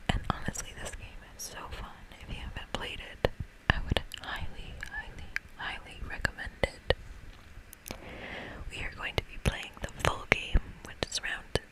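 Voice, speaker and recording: A host whispering softly and chattily through an ASMR video game playthrough, speaking without hurry. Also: moderate pace, poor audio.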